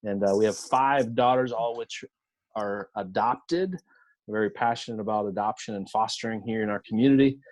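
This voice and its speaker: A man's voice: on the low side, normal speed, no room sound, rough recording. A man introducing himself earnestly on a video call as a podcaster and panelist. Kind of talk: online forum introduction.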